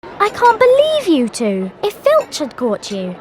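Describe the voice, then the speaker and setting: A young schoolgirl witch from a video game. Her high voice moves up and down with earnest, bossy energy. She is brisk, bookish and precise. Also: huge pitch swings, noisy background, heavily acted. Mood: scolding.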